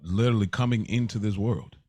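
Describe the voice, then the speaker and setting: A man's voice: fairly low pitch, fairly quick, mellow, no room sound. A man co-hosting a podcast, speaking casually and earnestly, with slang and repeated phrases for emphasis. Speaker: podcast co-host.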